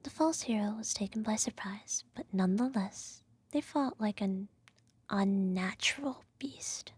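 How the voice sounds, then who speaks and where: Woman acting a slow whispering evil empress mother. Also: medium pitch, a little mellow, no room sound. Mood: hushed, solemn storytelling. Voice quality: breathy whisper. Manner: slow, measured, formal storybook diction. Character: evil empress, doting sinister adoptive mother telling bedtime story. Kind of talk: whispered ASMR bedtime-story roleplay.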